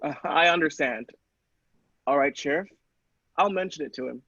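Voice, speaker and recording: A dull, slightly muffled recording of an earnest young gentleman, acted by a man in a radio play. His voice is on the high side, polite and a touch halting. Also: slightly rough, dry recording. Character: polite, earnest young male scientist's assistant.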